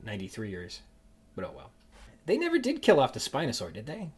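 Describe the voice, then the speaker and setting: Musing, halting male podcast host. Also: mid-range voice, wildly swooping pitch, slightly rough. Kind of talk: casual interview chat.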